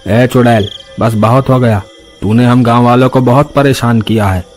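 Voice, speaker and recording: A man's voice: mid-range voice, fairly even intonation, moderate pace, darkish tone, faint music behind, close to an everyday voice. Man acting a bold, angry villager. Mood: angry, defiant. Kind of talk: melodramatic cartoon dialogue.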